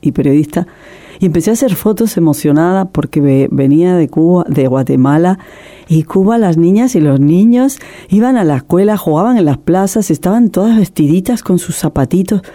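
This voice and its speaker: A woman's voice: fairly low pitch, animated, a little fast, dark tone. A middle-aged woman filmmaker telling her story in an interview, passionate and emotional, often restarting her sentences. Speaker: documentary filmmaker, guest.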